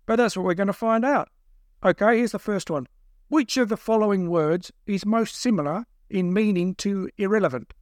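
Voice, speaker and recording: A man's voice: sits high, moderate pace, no room sound, clean studio sound, voice pushed up, lightly acted. A man voicing a big, jeering captor who fires off questions like a mocking quizmaster in an audiobook. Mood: taunting. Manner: taunting, mocking quizmaster delivery. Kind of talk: audiobook character voice.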